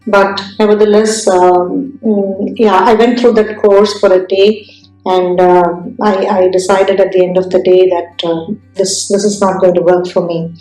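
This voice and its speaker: A woman from India with an Indian accent, telling her career story in an interview, speaking thoughtfully with many pauses and restarts. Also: medium pitch, level tone, warm tone, roomy sound, soft background music, poor audio. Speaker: entrepreneur guest.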